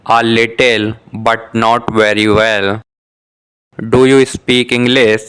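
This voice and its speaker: Male voice for a beginner English lesson: unhurried, crisp, careful, even tone. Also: medium pitch, poor audio. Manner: reads short question-and-answer exchanges, each said twice; measured, carefully articulated delivery. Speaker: English lesson dialogue reader. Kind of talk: scripted beginner dialogue for shadowing practice.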